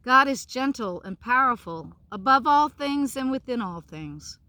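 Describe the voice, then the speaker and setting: A woman voicing a wise, gentle old female turtle in a storybook, with solemn, emphatic delivery. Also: on the high side, animated, measured pace, dry recording, voice pushed up. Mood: solemn, assured.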